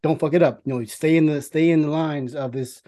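Fast-talking, rambling man on a podcast. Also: on the high side, fairly even intonation, dark tone. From New York. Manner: rambling, halting, repeats words, profane. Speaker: podcast co-host. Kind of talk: casual film chat.

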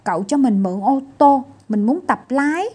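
A woman's voice: high voice, animated, normal speed, mellow, breathy edge. A woman voicing a cocky, impatient little male gnome in a storybook, brash and insistent. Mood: eager.

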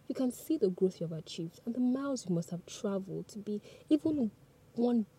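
A woman's voice: lowish voice, dark tone, slightly rough. A woman whose first language is Yoruba, giving an earnest scripted motivational talk on a podcast and speaking straight to the listener. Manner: earnest and chatty, addresses the listener directly.

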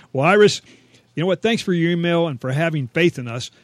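A man with a moderately high voice co-hosts a comic radio show. His banter is scripted, jokey and self-deprecating. The sound is dry and close. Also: animated, normal speed, no room sound.

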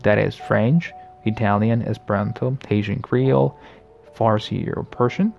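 A man in his late twenties hosting a casual solo podcast, talking in a friendly, reflective way, often pausing and restarting his sentences. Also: lowish voice, fairly even intonation, creaky, darkish tone, faint music behind. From the Americas. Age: late 20s. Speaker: podcast host.

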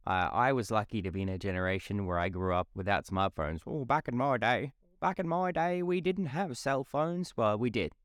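A man's voice: lowish voice, lively intonation, normal speed, hardly any pauses, no echo, studio quality. A man in his twenties hosting a solo motivational podcast. He talks in a casual, chatty, blunt way and swears freely. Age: 20s. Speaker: podcast host.

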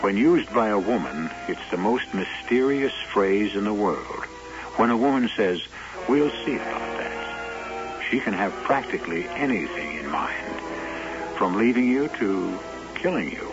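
A man's voice: fairly low pitch, lively intonation, unhurried. A man introducing a radio mystery drama with dry, theatrical wit. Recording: dull old radio broadcast with music.

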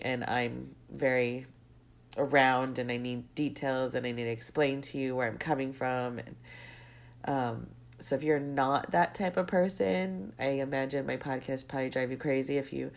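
A young adult woman hosting her own podcast, stuffy and congested from a cold, rambling off on tangents and circling back. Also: a bass voice. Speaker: podcast host. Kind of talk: casual solo podcast monologue.

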